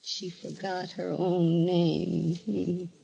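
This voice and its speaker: An actress in a vintage radio drama playing a timid, frightened wife being driven toward madness, faltering and pleading. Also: female voice, fairly low pitch, flat delivery, extremely slow, creaky, warm tone, highs cut off, heavily acted. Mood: stunned. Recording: noisy vintage radio recording.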